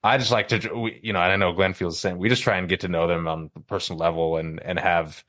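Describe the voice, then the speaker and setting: A man co-hosting a podcast in casual chat, talking in a halting, rambling, hedging way. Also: sits low, fairly even intonation, a bit bright, dry recording, studio quality. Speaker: podcast co-host.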